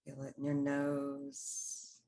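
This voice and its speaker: A woman with a low, level voice guides a meditation. She speaks in soothing, short phrases and leaves space between them. Her intonation stays very even throughout. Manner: slow, pausing, soothing instructional delivery in short phrases.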